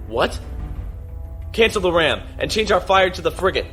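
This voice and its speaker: An actor playing a resolute starship captain in a sci-fi audio drama, giving steady, terse orders. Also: male voice, sits high, moderate pace, faint music behind. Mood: urgent command. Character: resolute, self-sacrificing male starship captain.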